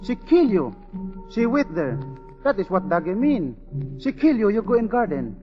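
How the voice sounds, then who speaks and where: A fearful, submissive servant in a 1940s radio melodrama. The voice is fairly high, with urgent, halting broken English, on a band-limited recording with faint music. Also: male voice, big pitch swings, in a large hall, highs cut off. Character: frightened, submissive servant bearing a deadly warning. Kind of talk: 1940s radio drama acting.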